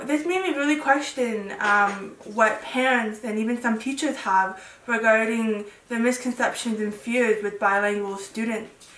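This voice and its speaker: A woman's voice: a little high, fairly bright tone. A young woman presenting and interviewing informally as a student, in a conversational, checking-in way. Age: young adult. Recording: echoey room, distant microphone.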